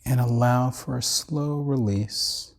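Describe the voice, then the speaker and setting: A man with a slightly creaky voice guiding a body scan meditation in a measured, soothing way with long pauses. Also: medium pitch. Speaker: meditation teacher.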